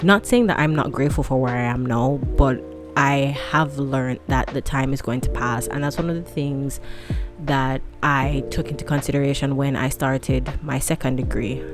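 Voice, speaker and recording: Low-voiced chatty woman in her twenties. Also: normal speed, music in the background. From tropical country. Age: 20s. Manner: chatty, rambling, self-deprecating. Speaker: vlogger. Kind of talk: casual chat to camera. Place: home room with a fan running.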